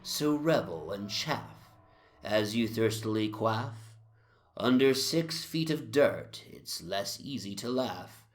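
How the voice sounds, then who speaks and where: A man's voice: lowish voice, lively intonation, slow. A man voicing a tipsy, jovial Georgian tavern reveler, declaiming bawdy drinking verse with theatrical swagger in a dramatic audio reading. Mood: drunken revelry. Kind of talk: theatrical rhymed drinking-song recitation.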